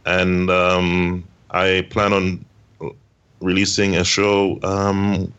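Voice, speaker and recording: A podcast guest talks about his own show, hesitating often and restarting his sentences. His voice is very deep, and the remote line sounds muffled and lo-fi. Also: monotone, drawn-out words, fairly bright tone, clean tone. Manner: hesitant, many ums and restarts. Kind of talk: interview answer.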